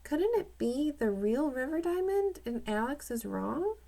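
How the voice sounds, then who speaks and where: A woman reading aloud as a sharp, questioning young girl in a children's storybook. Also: high-pitched, big pitch swings, unhurried, gravelly, voice pushed up, full character voice.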